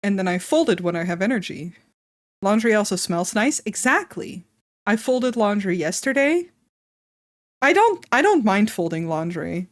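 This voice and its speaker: A woman's voice: medium pitch, sing-song, normal speed, dry recording, studio quality. A woman streamer chatting casually with her viewers, slightly congested and wheezy, swearing casually and laughing often. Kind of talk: casual live-stream chat.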